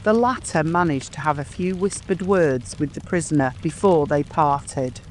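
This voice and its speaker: Casting: male audiobook narrator, slightly high voice, measured literary storytelling, ambient noise behind him. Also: poor audio. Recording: clear background ambience noise.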